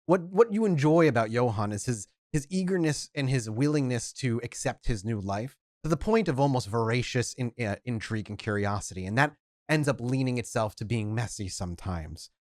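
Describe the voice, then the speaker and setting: A man's voice: mid-range voice, lively intonation, brisk, dry recording. A man leading a tabletop game as storyteller, describing scenes and characters in detail. His voice sits in a middle register, close and dry. He narrates steadily.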